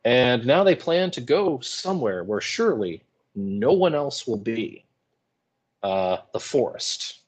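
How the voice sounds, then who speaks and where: A man's voice: on the low side, darkish tone. A man teaching English in an informal video lecture, explaining with wry asides and some hesitation. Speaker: high school English teacher.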